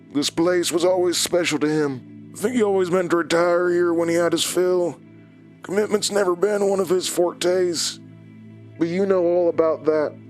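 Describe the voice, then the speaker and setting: An actor playing a menacing, smug villain in a horror audio drama, taunting and calculating in a controlled way. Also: male voice, fairly high pitch, lightly acted. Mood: disdainful. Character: menacing, smug male villain, an old enemy.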